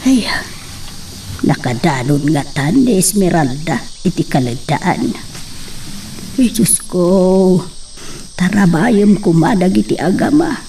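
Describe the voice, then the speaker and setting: A woman's voice: fairly low pitch, lively intonation, slow pace, vocal fry, long pauses, warm tone, far from the mic, a little hiss or hum, exaggerated voice. A woman playing a worried, pious mother in a radio drama, pleading and lamenting. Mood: anxious, prayerful.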